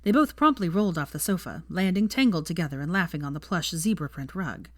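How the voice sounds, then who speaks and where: A woman's voice: fairly low pitch, lively intonation, fast, no echo, studio quality. A woman reading a story aloud as scripted audiobook narration. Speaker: fan fiction audiobook narrator.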